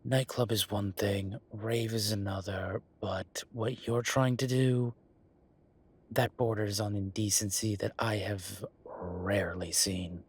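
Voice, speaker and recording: Guy playing a fed up werewolf office bureaucrat, deep raspy voice, dry and firm, audio rp. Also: steady tone, takes it slowly, heavily acted. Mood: incredulous, appalled. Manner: exasperated, emphatic, repeating himself. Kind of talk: audio roleplay acting, one side of a phone call.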